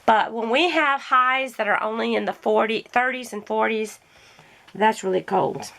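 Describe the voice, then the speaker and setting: A woman's voice: on the high side, normal speed, gravelly, a bit bright. A woman in casual, rambling chat as a crafting vlogger, often correcting herself, her throat irritated by allergies. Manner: rambling, chatty, self-correcting.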